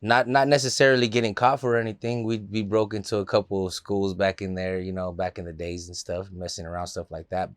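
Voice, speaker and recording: A man's voice: low-pitched, little pitch movement, a little fast, few pauses, no room sound, studio quality. A man from the Los Angeles area, a guest in a casual interview, telling stories in a loose, laid-back way with plenty of fillers.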